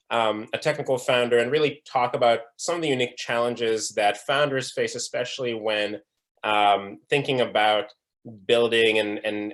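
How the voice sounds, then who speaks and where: A man's voice: medium pitch, a bit bright. A young man from Israel giving a presentation at an online breakout session, earnest, with frequent fillers and restarts. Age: young adult. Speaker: conference speaker. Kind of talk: presentation talk.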